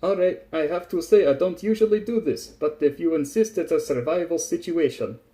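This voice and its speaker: Man acting a soldier, gruff and boozy, smug, loud drawn-out delivery, storybook character. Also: on the high side, voice pushed up, natural voice. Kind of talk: dramatised story reading.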